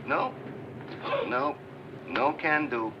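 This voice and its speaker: A stonewalling supplier clerk drawls his refusals with a swooping, lively pitch. The sound is a muffled old film track with steady hiss. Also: drawn-out words. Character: evasive supplier clerk who keeps refusing. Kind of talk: 1980s training film acting.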